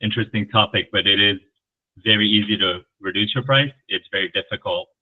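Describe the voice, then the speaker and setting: A man's voice: lowish voice, brisk, husky. A man moderating an interview over a video call, asking loose, rambling questions with restarts. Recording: tinny phone-like call audio.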